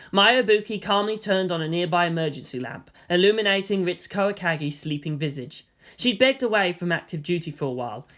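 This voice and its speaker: An amateur audiobook narrator reading a fan story in a steady, mid-pitched voice. The pacing is even and unhurried, and dialogue gets voiced in character. The tone is plain and matter-of-fact. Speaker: fan fiction reader.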